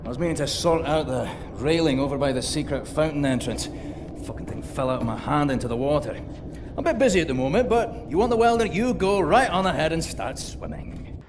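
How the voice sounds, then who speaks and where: A man's voice: on the high side, expressive, brisk, big-room sound, background noise, natural voice. An actor playing an irritable, sarcastic handyman in a video game, grumbling along. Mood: annoyed, sarcastic. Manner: grumbling, sardonic.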